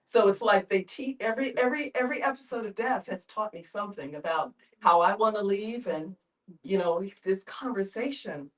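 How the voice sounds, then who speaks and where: A woman's voice: mid-range voice, normal speed. A woman sharing personal reflections in a group discussion. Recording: voice picked up from a distance.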